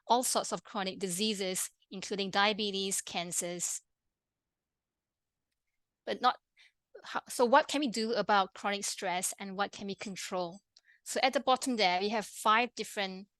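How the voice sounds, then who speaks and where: A woman with a Malaysian accent, a pharmacist and health coach, presenting an online workshop in a friendly, quick way with many fillers and restarts. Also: medium pitch, fairly bright tone, no echo. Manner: fast-talking, friendly, with frequent fillers and restarts.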